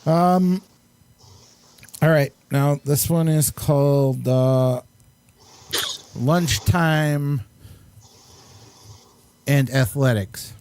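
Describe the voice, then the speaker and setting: A man hosting a casual talk show, introducing guests in a halting, chatty way. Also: fairly high pitch, darkish tone, clean tone. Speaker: show host.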